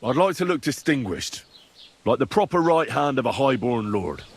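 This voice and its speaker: A man's voice: medium pitch, big pitch swings, normal speed, breathy edge. A man playing a down-on-his-luck young medieval squire in a video game, curious and dryly sardonic, with an informal, questioning manner. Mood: determined. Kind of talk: video game dialogue acting. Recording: background music under the dialogue.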